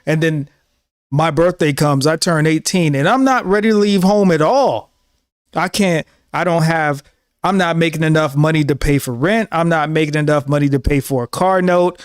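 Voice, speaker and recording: A man's voice: on the high side, moderate pace, clear, resonant tone, no room sound, clean studio sound. A man in his thirties giving an earnest, preachy talk to camera as a show host, often repeating himself. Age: 30s. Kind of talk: casual monologue to camera.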